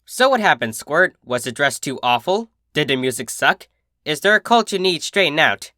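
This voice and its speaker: A brash cartoon pegasus mare voiced by a story narrator looks out for a younger one. The delivery is cocky, slangy and insistent, with lively pitch and a dry, close sound. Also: moderate pace, no room sound. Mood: pushy, caring.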